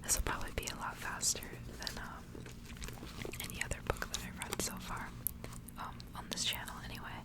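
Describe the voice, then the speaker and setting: A woman whispering softly and breathily as an ASMR host, reading stories and chatting casually. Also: brisk. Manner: whispering throughout, soft and breathy. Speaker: ASMR reader and channel host.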